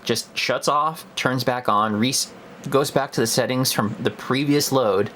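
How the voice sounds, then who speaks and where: A man's voice: medium pitch, unhurried, fairly bright tone, slight background noise. A young man giving a casual, unscripted product review in a hesitant, conversational way, as if thinking aloud.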